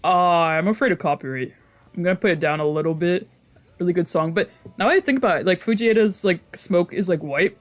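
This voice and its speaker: An excitable game streamer chatting casually in a mid-pitched voice. The talk rambles along with giggly asides, while game music plays behind it. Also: brisk. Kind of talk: casual let's play commentary with giggly asides.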